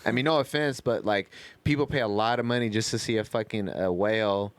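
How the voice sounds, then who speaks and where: A man with a low-pitched, clean voice, recorded very dry. He rambles along in casual podcast chat, peppering his talk with slang and swearing. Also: fairly quick, smooth, no room sound. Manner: rambling, slangy, frequent cursing. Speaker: podcast co-host.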